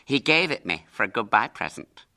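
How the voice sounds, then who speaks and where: A man's voice: medium pitch, very animated, fairly quick, pushed and bright, pitched above the performer's own voice, heavily acted. Man doing a smug, drawling, sly boy. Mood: smug, careless. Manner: bland, careless, drawling.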